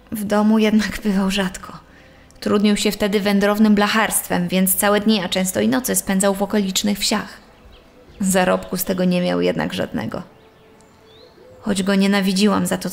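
A woman acts out a long-suffering, bitter sister testifying in a true-crime dramatisation. Her voice sits at a medium pitch with plenty of vocal fry. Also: lightly acted.

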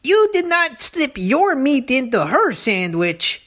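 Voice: high-pitched, big pitch swings, slow, fairly bright tone, pitched above the performer's own voice, full character voice. A performer voicing a small, wary talking lizard in a comedy skit, repeating words and speaking in uncontracted phrases. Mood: incredulous, prying. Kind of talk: comedy puppet-style dialogue.

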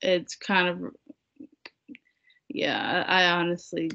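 A woman's voice: lowish voice, animated, slow, gravelly, bright, forceful tone. A young woman, a native Spanish speaker, chatting casually and a bit hesitantly as a guest on a video call. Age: young adult. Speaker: seminar guest. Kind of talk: casual conversation. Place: indoor room on a video call. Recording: dull, lo-fi video call audio.

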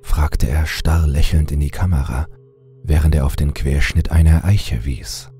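Very deep, dark-voiced male narrator. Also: fairly even intonation, measured pace, slightly rough, faint music behind. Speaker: horror story narrator. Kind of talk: scripted creepypasta narration.

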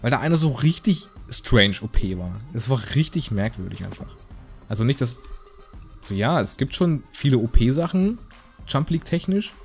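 A man's voice: medium pitch, animated, normal speed, soft background music. A man giving casual, joking game commentary in a rambling, slangy, mock-indignant way. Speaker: gaming video host.

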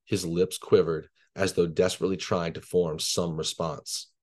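A man in his thirties from Louisiana, reading his horror fiction aloud in a measured way, then answering questions casually on a video call from a bedroom. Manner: low, measured, even reading voice. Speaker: guest author. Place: bedroom at home. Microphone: video call laptop camera.

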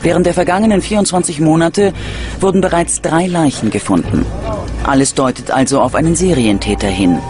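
A man delivering scripted true-crime documentary narration in a dramatic, measured, ominous manner. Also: fairly high pitch. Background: background music.

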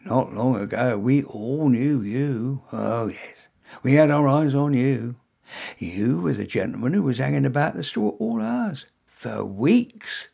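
A man voicing a male store security guard who speaks quietly and politely but with authority. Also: medium pitch, animated, a little slow, gravelly, slightly rough, heavily acted. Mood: quietly suspicious.

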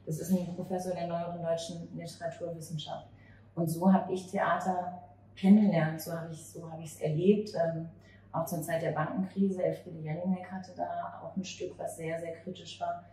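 Low-voiced woman interviewing in an echoey room. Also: little pitch movement, dark tone. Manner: halting, searching for words. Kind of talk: conversational interview with long reflective questions. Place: small cafe or bar table at night. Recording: very echoey, distant, noisy room ambience.

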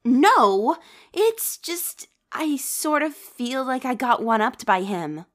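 A woman playing a cheerful, nosy high-school teenager with hidden worries in a manga dub, with a husky voice. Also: big pitch swings, takes it slowly, full character voice.

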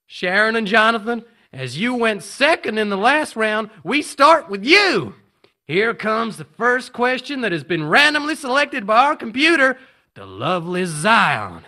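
An actor playing an excitable, showy male game show host in an audio drama. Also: high voice, a little slow, exaggerated voice. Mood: excited, showy. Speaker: quiz host.

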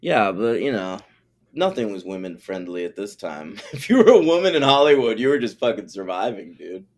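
A man's voice: lowish voice, big pitch swings, normal speed. A man co-hosting a podcast, talking casually and animatedly, joking and swearing. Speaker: podcast co-host.

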